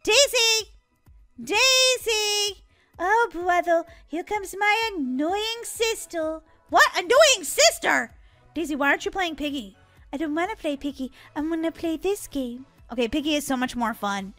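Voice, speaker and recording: A woman voicing excitable, dramatic young sisters in a gaming roleplay skit. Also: very high-pitched, normal speed, soft background music. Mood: bickering. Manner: dramatic, whiny, exclaiming. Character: excitable, dramatic girl gamer and her bickering sister.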